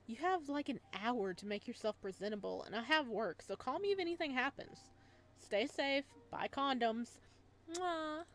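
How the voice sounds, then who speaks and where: A woman's voice: high voice, expressive, moderate pace. Woman voicing a sassy, teasing best friend. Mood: fond, teasing. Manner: sassy, bantering. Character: crude, teasing, dramatic best friend on a phone call. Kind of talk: visual novel dialogue read aloud.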